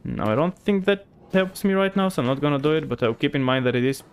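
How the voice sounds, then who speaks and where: A man's voice: on the high side, lively intonation, quick pace, a little hiss or hum. Bulgarian-accented man chatting while gaming. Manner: chatty and loose, swears casually, thinks aloud. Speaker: streamer. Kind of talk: casual gameplay chat with viewers.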